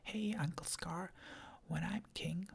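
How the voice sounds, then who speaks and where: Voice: medium pitch, slow, creaky, husky, voice pushed down, exaggerated voice. A person whispering the lines of an eager, boastful young male lion cub with a cheeky manner.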